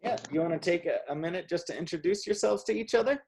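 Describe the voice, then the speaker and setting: A woman hosting a language conversation class on a video call, encouraging the learners and gently correcting them. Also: fairly low pitch, fast. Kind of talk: casual video call chat.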